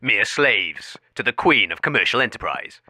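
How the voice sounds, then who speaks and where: A man's voice: fairly low pitch, expressive, normal speed, bright, forceful tone, dry recording, exaggerated voice. An actor, a man, playing a self-important, deluded investigative crusader, declaiming in a radio comedy. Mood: solemn. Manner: grandiose, declamatory. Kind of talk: melodramatic radio report parody.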